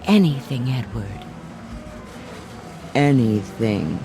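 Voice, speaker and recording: An actress playing a sinister, seductive dream temptress in an audio drama, coaxing and insistent. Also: female voice, a bass voice, animated, slow, music in the background, full character voice. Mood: seductive, soothing.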